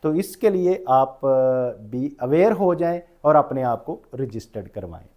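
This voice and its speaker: A man's voice: medium pitch, very animated, a little slow, muffled recording. A man with a Pakistani accent lecturing on rules as an instructor, explaining didactically and restating each point. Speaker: tax lecturer.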